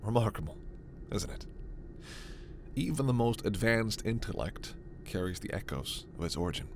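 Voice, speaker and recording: A man playing a gentle, scholarly, protective alien doctor in a sci-fi ASMR roleplay, speaking in a measured, formal, precise way. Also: on the low side, lively intonation, background noise. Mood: explanatory, earnest.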